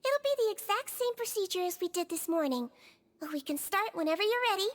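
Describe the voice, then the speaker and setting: A woman voicing a small, caring young girl head nurse in a video game, gentle, polite and reassuring. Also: extremely high pitch, expressive, fairly quick.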